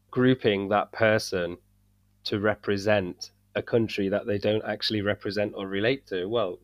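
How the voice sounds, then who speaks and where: A man from the UK, a podcast guest, talking in an earnest, measured way and searching for words at times. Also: low-pitched, no echo. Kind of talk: casual discussion. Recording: dull dry sound.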